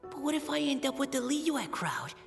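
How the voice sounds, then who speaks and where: Timid boy, creaky and nervous. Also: high-pitched, sing-song, normal speed, soft background music, exaggerated voice. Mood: worried. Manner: hesitant, stammering, nervous. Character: shy, anxious, timid young boy. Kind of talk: anime game voice acting.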